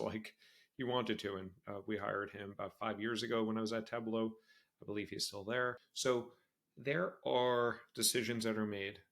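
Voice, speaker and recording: A man's voice: fairly low pitch, creaky, fairly bright tone. A man in his forties hosting a solo career podcast, talking candidly and conversationally and repeating phrases for emphasis. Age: 40s. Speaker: podcast host. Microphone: screen-sharing video podcast setup.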